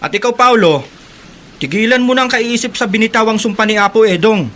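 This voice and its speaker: A Filipino man acting as a brisk, unsentimental fisherman in a horror radio drama. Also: high voice, a bit bright. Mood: brisk, assertive. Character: brisk, unsentimental fisherman friend, selling his catch.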